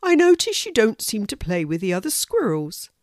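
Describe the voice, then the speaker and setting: A woman voicing a kindly, polite male hedgehog in a children's story, speaking in a gentle, plain-spoken, concerned manner. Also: medium pitch, wildly swooping pitch, normal speed, no room sound, studio quality, pitched above the performer's own voice.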